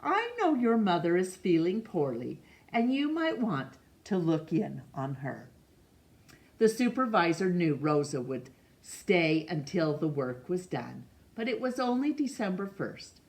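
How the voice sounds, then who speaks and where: A middle-aged woman reading a picture book aloud in a measured, expressive way for children. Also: lowish voice. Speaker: storytime reader. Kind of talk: read-aloud storybook narration. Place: small room indoors.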